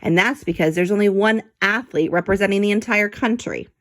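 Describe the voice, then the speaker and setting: A woman reading a children's book aloud as an expressive narrator, in a playful, theatrical way. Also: mid-range voice, brisk. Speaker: storybook reader.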